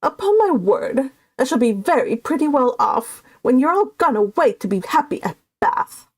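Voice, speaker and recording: A woman's voice: sits high, very animated, moderate pace, dry recording, pitched above the performer's own voice, exaggerated voice. A woman reading a classic novel aloud and voicing self-absorbed, status-minded Regency gentry with an affected, fussy manner. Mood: self-pitying.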